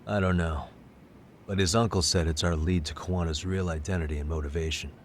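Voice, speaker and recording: A man playing a serious, steady, plain-spoken detective in an English game dub. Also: deep voice, brisk, a little hiss or hum, natural voice. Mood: serious, focused. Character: serious, steady male detective working a case. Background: background music.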